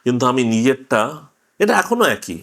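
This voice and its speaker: A man interviewing someone, making his argument conversationally and haltingly, in short fragments. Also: medium pitch, very animated, a bit bright.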